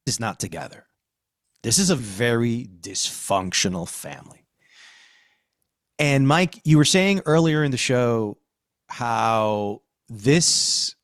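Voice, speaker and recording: A man hosting a podcast, discussing and reviewing in a conversational, emphatic way with deliberate phrasing. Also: medium pitch, no room sound, clean studio sound. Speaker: podcast host.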